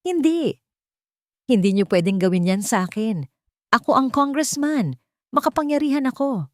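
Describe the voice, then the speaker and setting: Woman voicing an arrogant Filipino congressman, raised pitch. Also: big pitch swings, normal speed, no echo, studio quality. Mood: furious, desperate. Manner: forceful, indignant. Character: arrogant, threatening male congressman husband, cornered and defiant.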